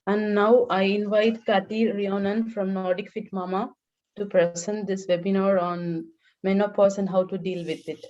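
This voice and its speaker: A woman from India co-hosting a webinar, introducing the organisation in a measured way with frequent hesitations. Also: mid-range voice, flat delivery, darkish tone, highs cut off. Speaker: webinar co-host. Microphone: webinar video call.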